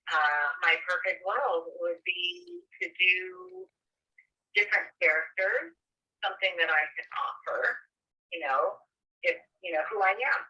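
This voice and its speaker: A deaf actress speaks very slowly and pauses to find her words. Her tone is bright and sharp. She comes through a thin phone line from a distance. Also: lowish voice. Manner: slow, searching for words. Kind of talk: interview answers.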